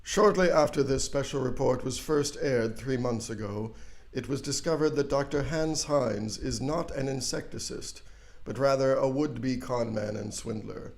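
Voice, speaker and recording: A man playing an earnest, self-serious news anchor, gravelly and deadpan, in a parody news broadcast. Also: mid-range voice, measured pace. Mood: grave, ominous. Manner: deadpan, grave newsreader delivery.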